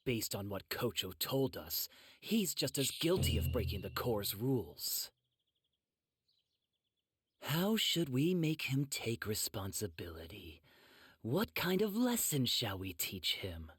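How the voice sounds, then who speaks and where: Slow, husky, venomous swordsman. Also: medium pitch, sing-song, no echo, exaggerated voice. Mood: cold disdain. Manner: sharp-tongued, drawling disdain. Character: cold, distrustful, venomous young swordsman. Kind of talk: English anime game voice acting.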